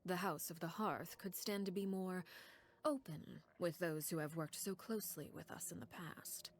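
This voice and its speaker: This is a composed, commanding matron played by a woman in a video game. She speaks slightly low, calm and measured, with quiet menace. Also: slight background noise, close to an everyday voice. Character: composed, commanding woman who heads an orphan house. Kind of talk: game voice acting.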